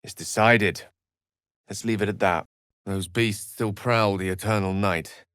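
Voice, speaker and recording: An actor playing an erudite, wry and courteous wizard in a video game, with eloquent, precise, theatrical phrasing. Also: male voice, fairly low pitch, fairly even intonation, a little slow, fairly bright tone, smooth, dry recording, studio quality. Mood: grave. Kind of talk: video game character acting.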